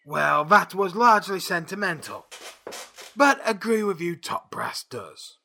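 Bossy spy sergeant man acting, highish sharp voice, very animated, pompous and blunt. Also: unhurried, vocal fry, full character voice. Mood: approving, pompous. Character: gruff, blunt male sergeant running a spy agency. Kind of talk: audio drama acting.

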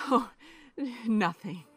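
A woman's voice: mid-range voice, breathy edge, no echo, clean studio sound, full character voice. A woman acting in an audio drama as a married woman overcome with ironic, helpless amusement.

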